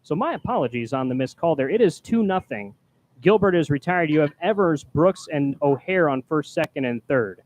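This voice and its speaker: A man calling live baseball play-by-play from a booth as announcer, projecting loudly on the calls. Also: on the high side, animated, moderate pace, poor audio. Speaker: baseball play-by-play announcer, also doing public address. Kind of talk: live play-by-play sports commentary. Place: press booth at a ballpark. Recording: clear background noise.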